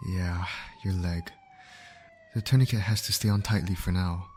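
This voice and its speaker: A man acts out a male medic in an audio roleplay, with a fairly low voice. He talks straight to his injured patient, steady and caring even under pressure. Some background noise and emergency ambience sit behind him. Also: moderate pace, lightly acted. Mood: soothing, concerned. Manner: reassuring, talking directly to an injured patient. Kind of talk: ASMR roleplay voice acting.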